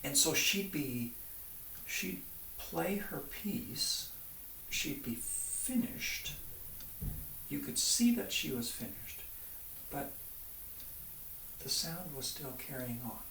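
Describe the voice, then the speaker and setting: A man talking casually in an interview as a teacher looking back on his work, with an expressive way of telling it. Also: fairly high pitch, slow, vocal fry, pauses often. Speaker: private violin teacher. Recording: roomy, distant mic, faint noise.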